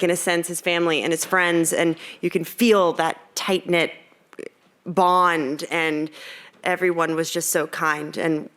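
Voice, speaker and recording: A woman with an American accent and a fairly low voice answers as an actress at a press conference. She is chatty and bubbly, rambling a little as she goes. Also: fairly bright tone. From United States. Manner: bubbly, chatty, laughs easily.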